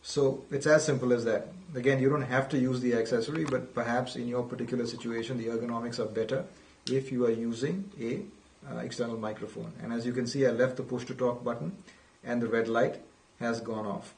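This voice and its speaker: A man's voice: mid-range voice, fairly even intonation, fairly quick, a little mellow, a little hiss or hum. A man giving an unscripted product demonstration as a company presenter, explaining things methodically. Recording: roomy, distant from the mic.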